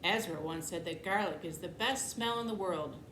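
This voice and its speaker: A woman's voice: lowish voice, moderate pace, fairly bright tone, close to an everyday voice. A woman voicing a sarcastic, whiny, dramatic older sister in a children's storybook, dismissive and exasperated. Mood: chatty. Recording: echoey room, clear background noise.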